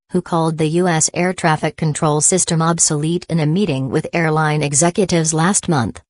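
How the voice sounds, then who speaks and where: A woman with a low voice reads a news story in a steady, even, scripted way. There are no fillers or slips. The sound is dry and close. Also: no room sound. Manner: steady, uniform read with no fillers. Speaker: news reader.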